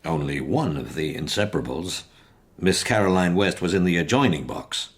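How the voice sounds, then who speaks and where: An actor playing a worried, proud middle-aged gentleman in a period radio drama, hesitant and formal in his delivery. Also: low voice, lively intonation, normal speed, slightly rough. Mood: troubled, reluctant. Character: worried, proud older society father, a gentleman client.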